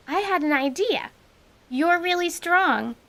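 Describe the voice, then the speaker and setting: A woman's voice: very high-pitched, very animated, takes it slowly, a bit bright, exaggerated voice. A woman voicing a bold, blunt little girl in a radio drama, speaking frankly. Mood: chatty. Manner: frank, chattery, plain-spoken.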